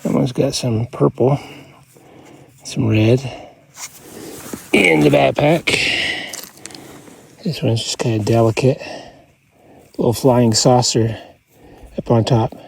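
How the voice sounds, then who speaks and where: A man vlogging casually outdoors, showing finds in short, laid-back muttered fragments with a gravelly, husky voice. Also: mid-range voice, takes it slowly, long pauses, darkish tone, slight background noise. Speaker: rockhounding vlogger. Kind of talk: casual outdoor show-and-tell. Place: outdoors on open hilly ground.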